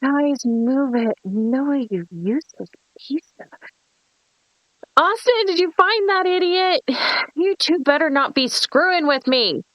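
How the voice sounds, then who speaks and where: A woman's voice: high voice, animated, takes it slowly, dry recording, dull audio. A woman playing a bratty, flippant, foul-mouthed young woman with a teasing, sneering delivery in a horror script table read. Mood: impatient, annoyed.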